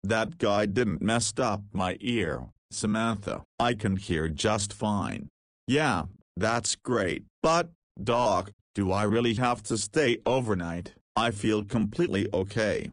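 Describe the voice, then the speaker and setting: A man's voice: lowish voice, lively intonation, takes it slowly, no echo, clean studio sound. A man playing a cheeky, self-deprecating young patient in a visual novel, slangy, casual and wry. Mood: mildly protesting.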